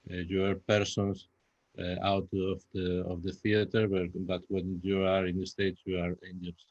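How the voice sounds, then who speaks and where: A man with a Spanish accent answering panel questions in English as a second language, halting and repeating himself as he searches for words. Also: deep voice, monotone, darkish tone. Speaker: playwright guest. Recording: dull lo-fi, echoey room sound.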